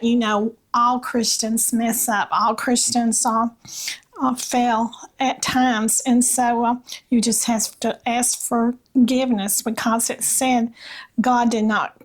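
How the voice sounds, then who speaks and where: Woman, Southern US accent, fairly high pitch, unhurried slow pace, slight rasp, halting, casual devotional talk. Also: poor audio. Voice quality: slightly raspy. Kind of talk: casual devotional chat with read-aloud passages.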